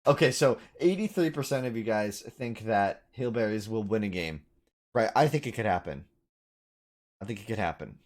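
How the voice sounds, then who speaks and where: A man's voice: on the low side, lively intonation, normal speed. Casual male game streamer commentating. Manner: chatty, informal, addresses chat as dude and buddy. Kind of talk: casual live esports commentary, chatting with viewers.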